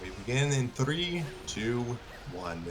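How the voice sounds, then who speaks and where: A man's voice: medium pitch, very animated, takes it slowly. A man narrating a scripted story as host of a live stream reading. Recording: music behind the voice.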